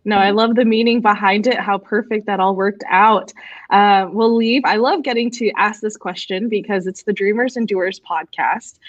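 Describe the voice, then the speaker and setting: A woman's voice: medium pitch, normal speed, no room sound, dull audio. A woman co-hosting a podcast, asking friendly questions with frequent fillers. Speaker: podcast co-host. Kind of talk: casual interview questions.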